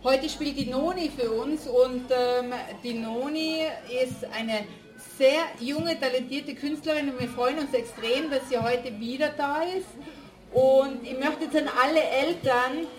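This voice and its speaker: High-voiced woman host, echoey. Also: unhurried. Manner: friendly, informal, small slips. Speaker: event host. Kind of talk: live event announcement. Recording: echoey room.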